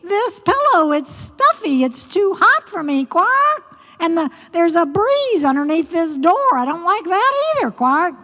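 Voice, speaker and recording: A high, shrill storybook frog acted out by a woman, ringing in a long reverb. He is a fussy, coy suitor who croaks "quark" between phrases. His folksy delivery swoops about, wheedling and demanding. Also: very animated, a little slow, bright, forceful tone, heavily acted. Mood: fussy, complaining. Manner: coy, folksy, nagging, repetitive.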